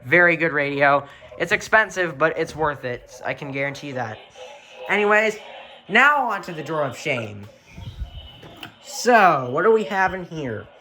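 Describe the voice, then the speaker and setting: A man's voice: a little high, pushed and bright, a little hiss or hum. A young man hosting an off-the-cuff show-and-tell, rambling and repeating himself with blunt opinions. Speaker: video host showing a radio collection.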